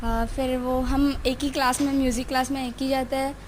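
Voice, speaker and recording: High young female voice, slightly noisy. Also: little pitch movement, a little fast. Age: young student. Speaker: interviewee, music student. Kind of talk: casual chat. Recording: noisy location with ambient sound.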